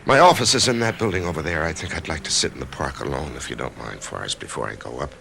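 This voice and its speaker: A man's voice: a bass voice, quick pace, gravelly, noisy background. An actor playing a troubled middle-aged office man who narrates his own story in a 1990s radio drama, hesitant and brooding. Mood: shaken. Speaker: narrator-protagonist.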